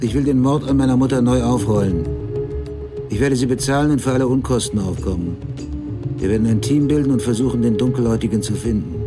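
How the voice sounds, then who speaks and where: An actor playing a middle-aged American male crime writer, narrating his dark memories in a deliberate, unsentimental radio drama style. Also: medium pitch, lightly acted. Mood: determined. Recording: music behind the voice.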